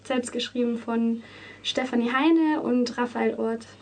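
A woman's voice: fairly high pitch, fairly even intonation, measured pace, darkish tone. A young woman from Spain speaking casually as an interview guest in a radio studio. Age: young adult. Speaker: interview guest, student musical performer. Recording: slightly distant mic, faint noise.

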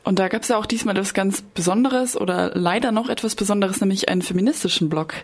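A radio host speaks at a brisk pace in a mid-range voice. The style is conversational, that of someone interviewing a guest. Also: mid-range voice. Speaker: radio host asking questions.

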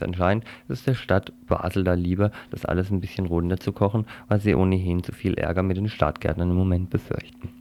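Deep gravelly radio newsman. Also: a little mellow. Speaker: radio news presenter. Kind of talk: radio news reading and interviewing.

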